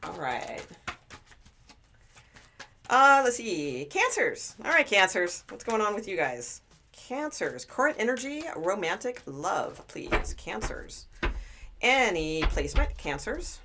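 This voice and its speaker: A woman with a low speaking voice giving a chatty, rambling tarot reading to live viewers, with lots of fillers and restarts. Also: sing-song, slow pace, sharp tone, a little hiss or hum. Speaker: tarot reader.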